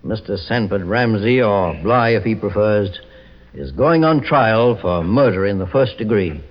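An actor playing a shrewd, commanding private detective who explains and accuses with authority in a 1940s radio drama. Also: male voice, fairly low pitch, lively intonation, measured pace. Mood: triumphant. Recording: muffled, reverberant old radio recording.

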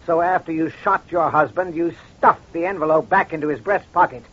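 An actor playing a shrewd, self-assured crime doctor in an old radio detective drama, speaking in a deliberate, accusatory way. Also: male voice, on the high side, a bit bright, husky. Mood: methodical, confident. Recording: dull, muffled old radio recording.

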